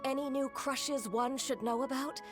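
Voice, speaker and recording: An earnest young noblewoman from a video game. She has a high voice and talks slowly, measured and proper. Music plays clearly behind her. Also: steady tone. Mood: confiding.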